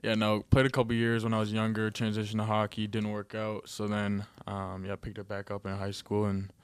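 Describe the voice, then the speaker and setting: A man's voice: on the low side, monotone, fairly quick, clear, resonant tone, no echo. A young man from Ontario, speaking as a guest athlete and giving casual interview answers. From Ontario, Canada. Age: young adult.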